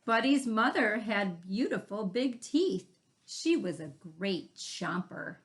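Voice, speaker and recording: A woman hosting a children's read-aloud, chatting and explaining the story in animated asides. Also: medium pitch, slow. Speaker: read-aloud host, teacher.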